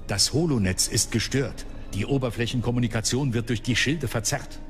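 An actor playing a serious, concerned warrior-monk officer in a sober, measured way for a radio drama. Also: male voice, mid-range voice, over music, natural voice. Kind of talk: German radio drama acting.

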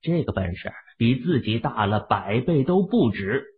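A man's voice: mid-range voice, big pitch swings, unhurried, breathy edge. A man narrating a novel from a script in a dramatic storytelling style, with narrow, muffled sound. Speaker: audiobook narrator.

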